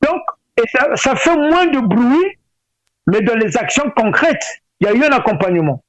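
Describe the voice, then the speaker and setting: High-voiced Congolese man, halting speech. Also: big pitch swings, a bit bright, no room sound. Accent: Congolese French. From Brazzaville, Republic of the Congo. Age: middle-aged. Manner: hesitant, halting delivery with repeated words. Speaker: interview guest. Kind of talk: spoken interview, opinionated discussion. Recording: dull lo-fi radio sound.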